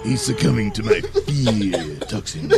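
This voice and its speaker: A man's voice: fairly low pitch, wildly swooping pitch, measured pace, gravelly, over loud music, full character voice. A man voicing a sneering male supervillain gang leader in a comedy audio play, with a gloating, sinister drawl and cackles.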